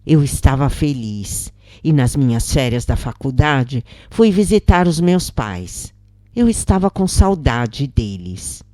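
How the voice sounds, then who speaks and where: A Brazilian woman narrates a scripted story in a low, mellow voice. She takes her time, reading slowly from line to line. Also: no room sound, studio quality. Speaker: narrator of spiritist stories.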